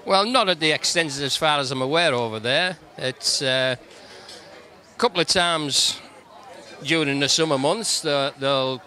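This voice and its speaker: A good-humoured man in his fifties with a Middlesbrough accent, chatting easily as a guest. His voice is bright and forward, with a relaxed, reminiscing flow. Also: a little high, measured pace. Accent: Middlesbrough English. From England. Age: 50s. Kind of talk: casual interview chat. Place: racecourse pavilion.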